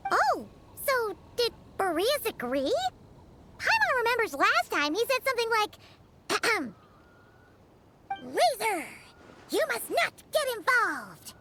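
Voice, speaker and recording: A woman voicing a tiny, chatty female fairy companion in a very high, squeaky, chirpy voice. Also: wildly swooping pitch, takes it slowly, background noise, heavily acted. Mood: sheepish. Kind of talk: game character dialogue.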